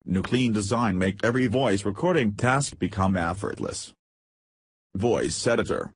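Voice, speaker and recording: A man reading scripted promotional narration for a software demo, announcing headings and lists in short segments. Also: fairly low pitch, expressive, measured pace, breathy edge, no echo, clean studio sound. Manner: reads feature lists in short headed segments.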